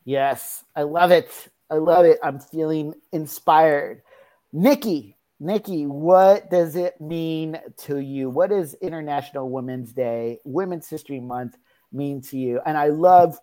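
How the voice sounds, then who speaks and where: A woman hosting a panel discussion, warmly repeating her praise of each guest before asking the next one to speak. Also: low voice, measured pace. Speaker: host.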